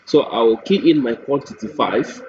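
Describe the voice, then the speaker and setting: Nigerian man demonstrating software, dull lo-fi sound. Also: mid-range voice, expressive, normal speed. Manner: step-by-step explaining. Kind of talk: screen-recorded product walkthrough, unscripted. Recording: dull lo-fi mic with faint noise.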